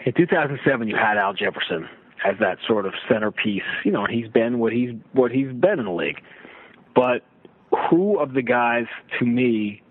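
A man's voice: medium pitch, animated, normal speed, no room sound. A middle-aged man giving emphatic, conversational basketball analysis as a sports radio guest, often building his points with rhetorical questions. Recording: slightly muffled, limited-band radio-like audio.

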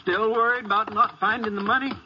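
An actor playing a folksy, plain-spoken Texas sheriff in a 1950s radio western, with an American accent, on an old radio recording. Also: male voice, sits high, steady tone, brisk, muffled recording, noisy background, natural voice. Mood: skeptical, plain-spoken.

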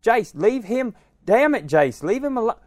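A man voicing an angry, skinny mother yelling at her kids. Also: very high voice, sing-song, normal speed, no room sound, clean studio sound, pitched above the performer's own voice. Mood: angry scolding.

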